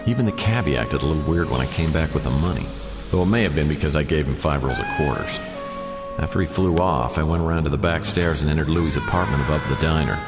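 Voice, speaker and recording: An American man playing a down-on-his-luck private detective in a noir radio drama, narrating with deadpan, self-mocking dryness. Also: a bass voice, fast talker, in a large hall. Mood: dry, weary. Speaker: lead character and narrator. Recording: studio radio drama over music. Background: prominent music.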